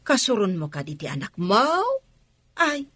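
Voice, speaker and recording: A woman playing a chiding but caring older female relative in an Ilocano comedy radio drama, expressive, with an Ilocano accent. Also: fairly low pitch, moderate pace. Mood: nagging.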